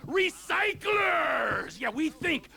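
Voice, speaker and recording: A manic, high-strung, gravelly crime boss. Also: male voice, very animated, drawn-out words, exaggerated voice. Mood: fired-up scheming. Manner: theatrical, swinging from hushed growls to shouting. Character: manic, volatile male drug dealer boss pitching his startup. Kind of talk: video game cutscene acting.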